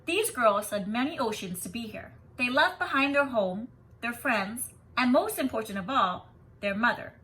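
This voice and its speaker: A woman's voice: fairly high pitch, expressive, moderate pace, bright, forceful tone, recorded from a distance. A woman voicing a big, authoritative male school principal in a storybook, declarative and commanding.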